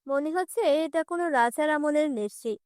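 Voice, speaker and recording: An overworked cartoon village mother, played by a woman, impatient and scolding. Her high voice nags with exasperation in a dry recording. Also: expressive, a little fast, a little mellow, dry recording. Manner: exasperated nagging. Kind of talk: children's fairy-tale cartoon voice acting.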